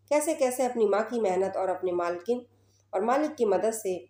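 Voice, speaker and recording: Female storyteller for scripted narration, soft dark tone, slightly high pitch, roomy reverberant sound. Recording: roomy, echoing voice recording.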